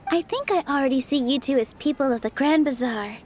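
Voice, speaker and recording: A woman voicing a young female dancer in a video game, speaking in a soft, gentle and earnest way. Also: high voice, brisk, slight background noise, natural voice. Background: faint game music.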